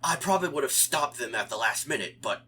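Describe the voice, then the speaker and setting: A man's voice: medium pitch, lively intonation, fast, pushed and bright, slightly rough. A man voicing a cocky, youthful hedgehog hero in an audiobook, with brash, casual quips. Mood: teasing gratitude.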